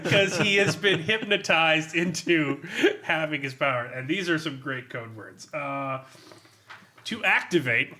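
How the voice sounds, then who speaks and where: A man with a high, bright, forceful voice talks as a podcast co-host. He reads excerpts aloud and breaks off into irreverent, sweary asides in a casual, sardonic way. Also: unhurried. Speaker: podcast co-host reviewing a role-playing game book. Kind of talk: casual chat with read-out book excerpts.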